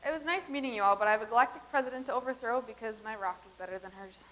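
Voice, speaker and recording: A woman reading the part of a brisk woman from the far future who is plotting a coup, in a staged reading. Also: a little high, a bit bright, close to an everyday voice.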